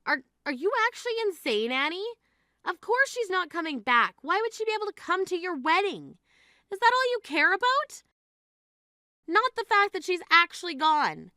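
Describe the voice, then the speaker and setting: A woman's voice: on the high side, expressive, normal speed, pushed and bright, no echo, clean studio sound. A woman playing an exasperated, grieving sister who scolds in an incredulous, reproachful way in a dramatized story. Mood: shocked, indignant. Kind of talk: dramatized phone-call story dialogue.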